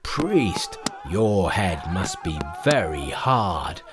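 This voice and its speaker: Storyteller putting on a male robber, menacing, scornful, slow drawn-out delivery, animated storybook villain. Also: deep voice, heavily acted. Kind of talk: storybook character voice.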